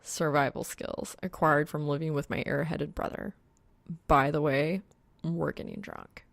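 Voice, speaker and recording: A woman's voice: low voice, fairly even intonation, unhurried, creaky, dry recording, studio quality. A woman voicing a young male gamer in an audiobook, a blunt, grumpy and casual slacker. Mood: deadpan. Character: Soul, young male gamer, scowling, food-obsessed slacker. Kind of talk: acted audiobook dialogue.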